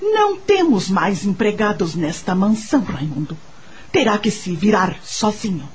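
An actress with a Brazilian accent playing a dignified, bitter, grieving mistress of a mansion in a radio drama, speaking formally in a husky voice. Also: female voice, mid-range voice, big pitch swings, a little hiss or hum. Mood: firm, cold. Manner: formal, measured, commanding. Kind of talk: Portuguese-language radio drama acting.

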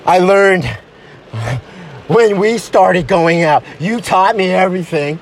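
A performer voicing a needy, sappy male lover who sounds close to crying, halting and pleading, in a comedy parody of romance. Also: medium pitch, slight background noise, rough recording. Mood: earnest, sentimental. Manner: halting, pleading, repeats himself. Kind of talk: improvised parody of romantic melodrama.

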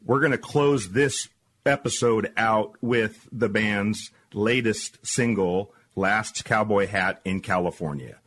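A man hosting a podcast interview, speaking in measured, deliberate phrases. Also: lowish voice, a bit bright. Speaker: podcast host.

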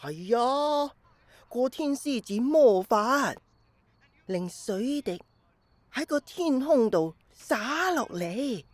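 An elderly Hong Kong Cantonese grandmother in a radio play, gentle and nostalgic. She speaks very slowly in a fairly high voice, spinning wistful, playful tales for a little child. The sound is dry and close. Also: expressive, no room sound, heavily acted. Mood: hushed wonder. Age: older. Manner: slow, wistful storytelling to a small child. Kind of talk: radio drama acting.

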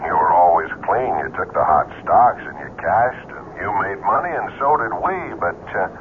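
Casting: menacing gang go-between, deep, measured, pointed, muffled 1970s radio sound. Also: male voice, animated, a little hiss or hum. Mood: insinuating. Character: insinuating male gang go-between, quietly menacing. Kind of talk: old-time radio drama acting.

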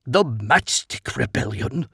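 A man's voice: mid-range voice, lively intonation, takes it slowly, creaky, fairly bright tone, husky, dry recording, clean studio sound, full character voice. A man voicing a pompous, blustering nobleman who rants and complains in an indignant, raised voice.

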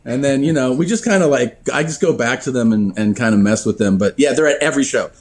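Man, casual podcast guest, profane and animated, keeps laughing through his stories. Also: mid-range voice, quick pace. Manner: profane, animated storyteller who laughs often. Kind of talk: casual storytelling.

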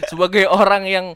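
A man's voice: high-pitched, bright, forceful tone, no room sound, clean studio sound. A young man from Kalimantan, Indonesia, chatting casually as a podcast guest, slangy and swearing, often breaking into laughter. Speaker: guest co-host.

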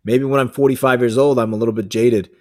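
A man in his thirties hosting an interview, talking in an animated, friendly way and often checking in with "right?". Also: mid-range voice, fast talker. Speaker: podcast host and judo coach. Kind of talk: casual conversation.

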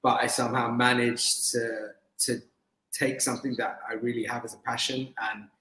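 A man's voice: mid-range voice, a bit bright. Reflective man from London, echoey room. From London, England. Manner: reflective, hesitant with many fillers. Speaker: interview guest, business owner. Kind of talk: casual interview conversation.

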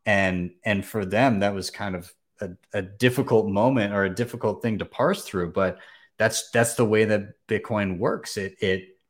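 Male podcast host, lower register, loose and rambling delivery. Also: normal speed. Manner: rambling, many fillers and restarts. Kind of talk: casual podcast chat.

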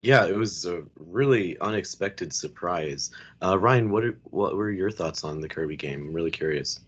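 A man hosting a casual podcast chat, his voice a bit raspy, rambling loosely with plenty of fillers. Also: low-pitched, animated, normal speed, fairly bright tone, highs cut off. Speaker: podcast host.

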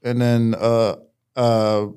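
A man's voice: mid-range voice, drawn-out words, smooth. A man trading crude, joking banter as a podcast co-host, from the United States. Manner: animated, joking, jumps into mock voices.

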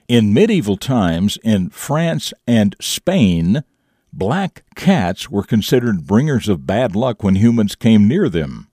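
A man presenting a scripted morning show for young listeners, with emphatic, deliberate phrasing and folksy asides. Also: lowish voice, darkish tone, no room sound, clean studio sound. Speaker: radio show and podcast host.

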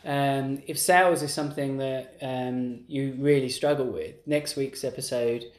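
A man's voice: medium pitch, measured pace. Chatty man in an echoey room. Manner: animated, acts out quoted voices. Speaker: podcast co-host. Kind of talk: casual conversational chat. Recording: roomy echo.

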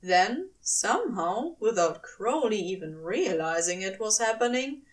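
A woman reading fiction aloud as a narrator, giving an expressive scripted performance. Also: a little high, recorded from a distance. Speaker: podfic reader and narrator.

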